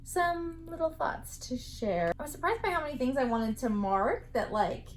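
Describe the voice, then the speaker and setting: A woman's voice: high-pitched, expressive, normal speed, slight background noise. A woman in her twenties, chatting casually about a knitting book while sitting up in bed, with a lot of "like" fillers. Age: 20s. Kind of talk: casual reading vlog.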